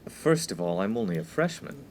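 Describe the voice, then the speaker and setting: Man playing an earnest, animated college freshman. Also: lowish voice, brisk, slight background noise. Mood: wary. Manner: proper, precise, earnest. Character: earnest, exasperated, studious young male college freshman. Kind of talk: 1950s-set comic radio play acting.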